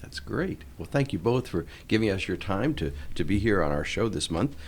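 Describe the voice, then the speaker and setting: A middle-aged man with a lowish voice hosts a church podcast, welcoming guests in a friendly way. His pitch rises and falls a great deal as he talks, and he chuckles and restarts small phrases as he goes. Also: big pitch swings, normal speed. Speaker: podcast host, diocesan chancellor.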